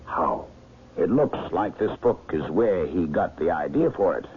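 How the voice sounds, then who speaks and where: A low-pitched, perceptive older gentleman sleuth in a radio drama. He is knowing and deliberate, with lively melodic swings. The vintage broadcast is lo-fi, with some hiss and noise. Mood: knowing, storytelling.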